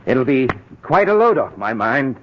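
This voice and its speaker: An actor playing a nervous husband hiding a crime, stammering and flustered in a 1940s radio drama. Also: male voice, medium pitch, big pitch swings, measured pace, a little hiss or hum. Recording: muffled old radio broadcast.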